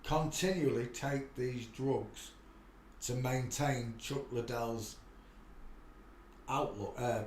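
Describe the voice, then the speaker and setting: A man hosting a live stream, talking in a preachy, rambling monologue with frequent restarts and sudden loud bursts. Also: mid-range voice, slow, pauses often, roomy sound, a little hiss or hum. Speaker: livestream host.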